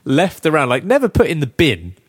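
A young man hosting a casual podcast, his voice croaky, chatting, teasing and laughing often. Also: on the high side, wildly swooping pitch, a little fast, no room sound, clean studio sound. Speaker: podcast host. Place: flat.

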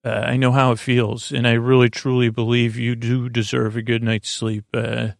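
A man hosting a sleep podcast, talking gently in a meandering, halting, goofy monologue with a creaky voice. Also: mid-range voice, little pitch movement, no echo, clean studio sound. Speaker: podcast host.

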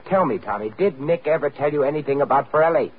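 Vintage radio detective: thin, narrow-band sound, suave, commanding, probing, wry, very lively intonation. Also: male voice, on the high side, fast talker, breathy edge. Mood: urgent, pressing. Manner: insistent, probing, dryly witty. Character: suave, commanding male amateur sleuth, urgent and wry. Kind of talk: old-time radio drama acting. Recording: thin, noisy vintage radio broadcast recording.

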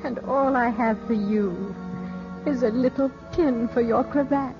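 A woman's voice: fairly high pitch, a little slow. An actress playing a poised, alluring lady in a 1950s radio drama, refined and theatrical, tender yet reproving. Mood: overwhelmed, tender. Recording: dull 1950s radio broadcast, faint music.

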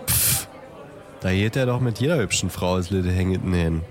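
A man's voice: deep voice, moderate pace, a faint crowd, natural voice. A man playing a cheeky, tipsy pub regular spreading rumours in a scoffing way in an amateur audio drama. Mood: cheeky gossip. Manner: gossipy, scoffing.

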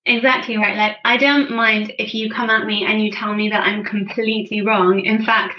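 A woman's voice: mid-range voice, flat delivery, normal speed, lo-fi sound. A woman speaking as a podcast guest in casual conversation, effusive and candid, with informal phrasing peppered with "like". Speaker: podcast guest, finance blogger and writer. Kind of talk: casual interview chat.

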